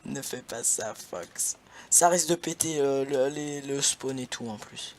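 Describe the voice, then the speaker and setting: A man's voice: mid-range voice, measured pace. A young man hosting a casual gaming session, laughing often and swearing playfully at his partner. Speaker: gaming channel host.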